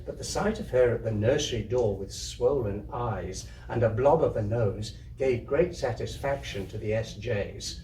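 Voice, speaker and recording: A man giving an expressive live reading of literary fiction. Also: on the low side, measured pace, few pauses. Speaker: reader, amateur theatre troupe member. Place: indoor community hub event. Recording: roomy, distant mic, faint noise.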